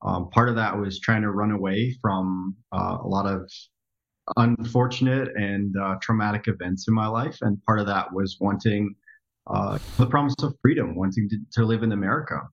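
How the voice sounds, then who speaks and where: Low-voiced man, reflective and halting. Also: creaky, highs cut off. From the Netherlands. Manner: reflective, halting, with many restarts. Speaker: podcast guest, software developer. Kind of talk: casual interview storytelling.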